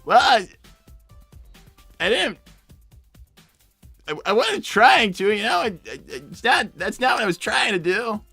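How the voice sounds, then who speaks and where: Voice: medium pitch, sing-song, a little slow, creaky, long pauses, sharp tone, husky, no room sound, faint music behind, heavily acted. A performer voicing a flustered, defensive young male fox in improvised live roleplay, stammering and protesting in a whiny way. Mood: sheepish, stammering. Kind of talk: live improvised character roleplay, reading donor messages.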